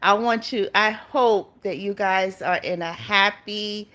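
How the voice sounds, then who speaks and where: A woman's voice: mid-range voice, slow, a bit bright. Chatty woman from Barbados hosting a live stream. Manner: chatty, greets viewers by name, laughs often. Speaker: YouTube channel host. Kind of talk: casual live-stream chat with viewers.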